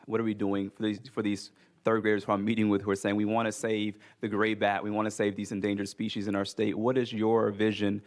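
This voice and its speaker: A man's voice: fairly low pitch, completely flat delivery. A male legislator questioning a nominee at a hearing, polite, with lots of ums. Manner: polite, many ums, rapid.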